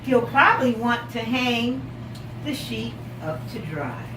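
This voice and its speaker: Female classroom storyteller, high animated delivery, encouraging and expressive, captured from a distance in a noisy room. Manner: encouraging, repeats children's answers; expressive, drawn-out emphasis on story words. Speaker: teacher reading a picture book to young children. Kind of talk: interactive read-aloud storytelling with questions. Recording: echoey room, distant mic, loud background noise.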